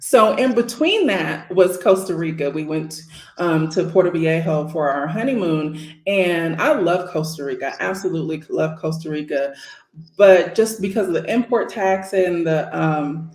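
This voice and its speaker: A woman's voice: on the low side, expressive, normal speed, a little mellow, roomy sound. A forty-eight-year-old American woman from Northern California, telling her story casually as an interview guest.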